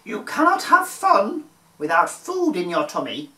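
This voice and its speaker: Fussy storybook mummy, played by a man, high sing-song voice, admonishing, roomy sound. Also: huge pitch swings, measured pace, bright, forceful tone, distant mic, exaggerated voice. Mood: fussy, caring. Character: fussy, caring mummy of a small boy.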